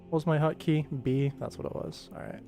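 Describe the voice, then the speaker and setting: A man's voice: fairly high pitch, steady tone, a little fast, mellow. A man chatting casually as he hosts a working animation livestream. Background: faint background music.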